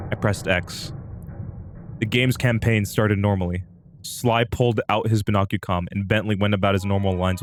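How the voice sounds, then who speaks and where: A man livestreaming a dramatic reading of an amateur horror story. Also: lowish voice. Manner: breaks off into amused asides.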